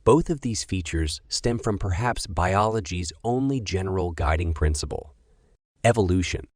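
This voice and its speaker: A man reads a prepared passage aloud in a notably low voice. The sound is dry, with very little room around it, in the manner of exam reading practice. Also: animated, normal speed, a little mellow, no room sound. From India. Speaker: language test practice reader. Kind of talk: read-aloud of scripted passages.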